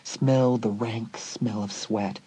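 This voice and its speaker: A man narrating a dramatised horror audiobook with suspenseful, deliberate phrasing. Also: on the low side, fairly even intonation, noisy background.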